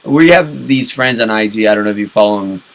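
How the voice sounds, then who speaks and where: A man's voice: fairly low pitch, animated, fast, rough recording. Crude, joking man chatting casually. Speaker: podcast co-host. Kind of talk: casual live chat.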